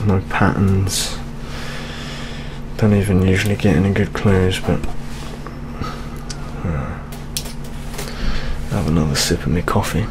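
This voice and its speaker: A young British man playing a weary, jaded older police detective in a soft-spoken ASMR roleplay, muttering with gloomy gallows humour. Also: deep voice, steady tone, slow, pauses often, noisy background. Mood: wry, weary. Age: young adult.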